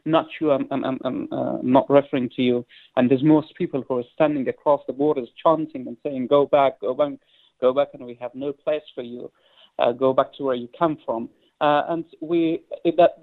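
Earnest man, gravelly voice, phone line. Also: medium pitch. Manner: earnest, halting, many fillers and restarts. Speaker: poet and interview guest. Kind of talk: interview conversation.

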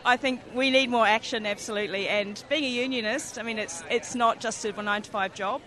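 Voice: mid-range voice, fairly even intonation, a little fast, bright, forceful tone. An union member answering street interview questions, earnest and hesitant, with many fillers. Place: outdoors at a crowded rally. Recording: crowd noise behind.

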